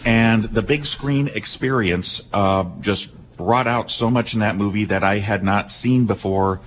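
A man speaks on a talk radio show, emphatic and enthusiastic as he tells his story. His voice sits fairly low, and the broadcast sound is a bit dull with a faint hiss. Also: a little slow.

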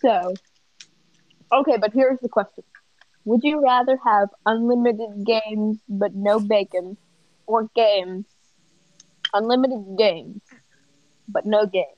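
A woman's voice: medium pitch, slow pace, pauses often, no echo. Young woman on a dull, muffled mic. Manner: laughs a lot, explains patiently. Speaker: friend on a group call. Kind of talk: casual chat.

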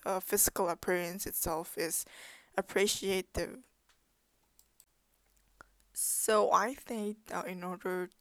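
A woman's voice: lowish voice, dry recording. A young woman whose first language is Korean, presenting as a student in a thoughtful way, with frequent fillers and self-corrections. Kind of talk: unscripted presentation.

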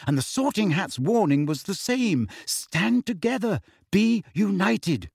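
Man voicing a bossy, earnest teenage schoolgirl, pitched up. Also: sing-song, normal speed, no echo, studio quality. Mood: earnest, insistent. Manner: crisp, insistent, dignified.

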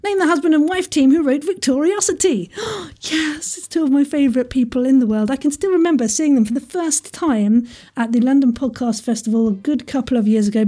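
A woman's voice: high voice, dark tone. A woman hosting an audio quiz in a chatty, playful style, with a theatrical, jokey delivery full of asides and exclamations. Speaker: quiz host.

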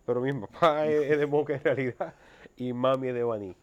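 Male guest, 30s, Dominican accent, medium pitch, explanatory conversational delivery, slightly muffled lo-fi audio. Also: a little fast. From Dominican Republic. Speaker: interview guest.

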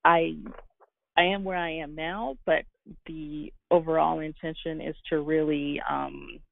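A woman's voice: low voice, steady tone, a little slow, vocal fry, phone-quality sound. A woman from Guyana giving earnest, reflective, conversational answers as an interview guest. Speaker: interview guest, fashion designer and business owner.

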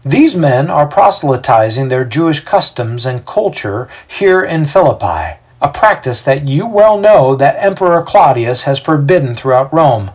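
Guy doing an indignant mob leader in an audio story, high pushed voice, husky, big theatrical speechifying. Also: very animated, normal speed, hardly any pauses, full character voice. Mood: indignant accusing. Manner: declamatory, theatrical oratory. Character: male mob leader, scheming indignant rabble-rouser accusing prisoners.

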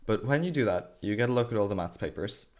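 Chatty Irish teenage boy, slightly low. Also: animated, fast talker, darkish tone. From Ireland. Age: teens. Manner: chatty, explains at length with fillers. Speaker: student explaining school. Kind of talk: casual video chat.

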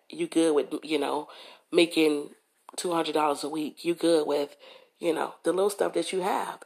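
A hoarse woman hosting a motivational podcast, talking to listeners in a conversational way and often checking in with "okay?" and "you know". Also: fairly low pitch, darkish tone. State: hoarse from a cold. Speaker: podcast host. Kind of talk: motivational monologue.

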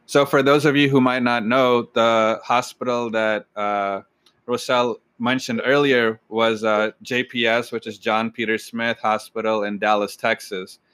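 A young man in his twenties hosting an interview, relaxed and addressing listeners directly. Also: mid-range voice, unhurried, clean tone, no echo. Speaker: podcast host.

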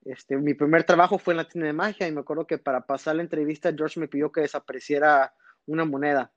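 A man, a little high-pitched for a man. He rambles through his stories with plenty of fillers. His voice comes over a dull, muffled line, dry and close. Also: fairly even intonation, fast, dry recording. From born in Texas, raised in northern Mexico. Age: 30s. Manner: rambling, storytelling, frequent fillers. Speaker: guest magician. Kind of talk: casual podcast interview.

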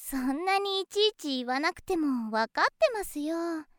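A sweet young nurse for an anime-style audio drama, with a tiny, very high girlish voice. She speaks slowly, in a cutesy, affectionate and clingy way. The recording is close and completely dry. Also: expressive, a bit bright, dry recording. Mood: playful, mildly defensive. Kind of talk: Japanese anime-style situation voice drama acting.

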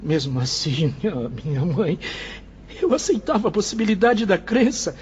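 A Brazilian actor playing a humbled former bohemian, earnest and formal, in a declamatory radio drama style. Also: male voice, sits high, animated, normal speed, a little mellow. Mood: wistful, regretful. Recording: dull radio recording with faint noise.

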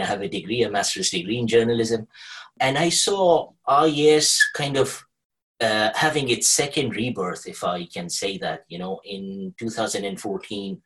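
A man's voice: lowish voice, a bit bright, low-quality recording. A man from Kuwait speaking as a podcast guest, explaining in a discursive, conversational way. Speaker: podcast guest, researcher with a journalism degree.

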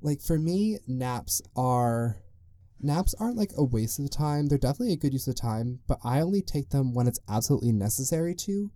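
Young adult male podcast host, deep mellow tone, loose rambling delivery, dry close mic. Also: expressive, a little fast, no room sound. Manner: rambling, loose and chatty, full of "like". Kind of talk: casual solo podcast monologue.

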